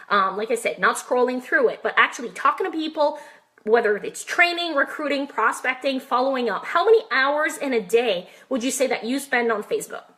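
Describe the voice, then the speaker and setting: French Canadian woman, high and bright, chatty and direct network marketing coach on a live stream. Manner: chatty and direct, peppers points with "right?" and questions to viewers.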